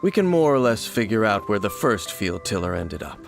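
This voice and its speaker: An actor playing a grave, guarded warrior in an anime-style video game, speaking in a measured, formal way. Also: male voice, fairly low pitch, big pitch swings, gravelly. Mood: analytical. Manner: measured, formal, serious, explanatory. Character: grave, guarded male warrior, knowing and solemn. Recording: studio voice over background music. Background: game music.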